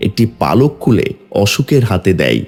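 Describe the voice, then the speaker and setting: A man's voice: lowish voice, wildly swooping pitch, moderate pace, creaky, a little mellow. A man delivering scripted dramatic narration as the narrator of a tale.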